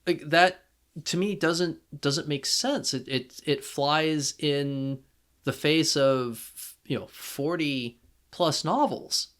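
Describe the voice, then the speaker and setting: A man with a slightly high voice hosts a casual podcast discussion. He takes his time, speaking slowly and emphatically, often restarting a phrase before landing on his point. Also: fairly bright tone. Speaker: podcast host.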